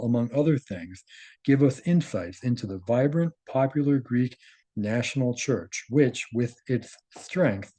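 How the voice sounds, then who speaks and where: A man with a dark, relaxed voice at an average pitch reads written prose aloud. He mixes the reading with informal asides, sometimes halting to correct himself or check that the point is clear. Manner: halting, self-correcting, often checks with "right?". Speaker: host reading and commenting on a translated article.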